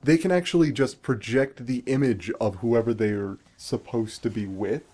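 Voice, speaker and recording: A man's voice: mid-range voice, normal speed, warm tone. A man giving casual game commentary as the host, explaining things in a conversational way. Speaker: gaming video host.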